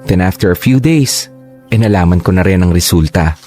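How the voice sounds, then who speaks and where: A low, mellow male voice narrates a radio drama as a man plays a young man recalling his illness. He speaks earnestly and confessionally, unhurried and heartfelt. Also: soft background music, natural voice. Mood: somber.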